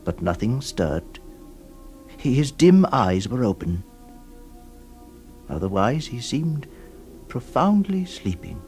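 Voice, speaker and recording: Slow, solemn, troubled lawyer narrator. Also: male voice, mid-range voice, sing-song, a little mellow, faint music behind. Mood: hushed sorrow. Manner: solemn, halting, deliberate phrasing. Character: troubled, compassionate male lawyer recounting a sad history. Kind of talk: dramatic radio narration, literary.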